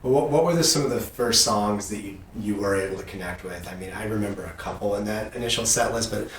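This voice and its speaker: A man's voice: on the low side, fast. A middle-aged man hosting a casual conversation, asking questions and sharing his own stories. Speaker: podcast host and parent interviewing own child. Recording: roomy echo with clear background noise.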